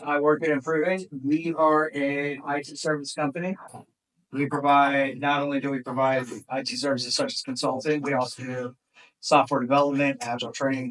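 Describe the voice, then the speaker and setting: A man's voice: on the high side, fairly even intonation, moderate pace, fairly bright tone. A man delivers a loose, off-the-cuff sponsor introduction at a meetup. He stands back from the microphone, so his voice sounds distant. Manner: loose, casual, unscripted. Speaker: sponsor representative. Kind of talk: improvised introduction.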